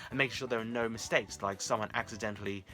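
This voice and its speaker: A man's voice: fairly low pitch, fairly even intonation, quick pace, pushed and bright, faint music behind. A man talking casually to his viewers as the host of a vlog.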